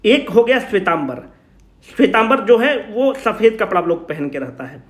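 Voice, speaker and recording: A man with a high voice and a Bihari Hindi accent gives an informal exam revision lecture. He poses questions and answers them himself, repeating the key points emphatically in everyday language. The room around him adds a strong echo. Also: sing-song, normal speed. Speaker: exam coaching teacher. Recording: echoey room.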